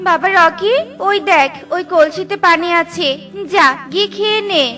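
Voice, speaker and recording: Lady doing a very high squeaky mama bird from a kids cartoon, worried and sweet, music underneath. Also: measured pace, pushed and bright, full character voice. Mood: tender. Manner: pleading, tender. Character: poor widowed mother bird, gentle and worried.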